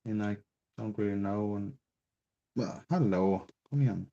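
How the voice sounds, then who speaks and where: A man with a Swedish accent, native Swedish, chatting slowly and hesitantly on a crafting live stream, with frequent restarts. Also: fairly low pitch, mellow. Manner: slow, hesitant, rambling with many restarts. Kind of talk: casual live-stream chat while crafting. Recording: dull lo-fi stream audio.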